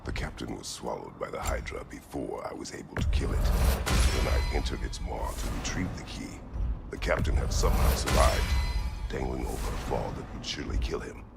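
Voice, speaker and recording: Grim warrior with a cavernous gravel growl. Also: male voice, extremely low pitch, animated, loud music behind the voice, exaggerated voice. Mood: grim confession. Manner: terse, grave, halting. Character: grim, remorseful male warrior, former servant of a god. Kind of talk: dramatic video game acting.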